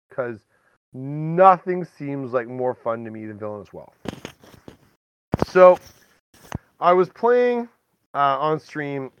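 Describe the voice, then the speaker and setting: A man's voice: medium pitch, clean tone, no room sound, lo-fi sound. A man hosting a podcast, telling stories in a casual, chatty way. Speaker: podcast host. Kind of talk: casual storytelling chat.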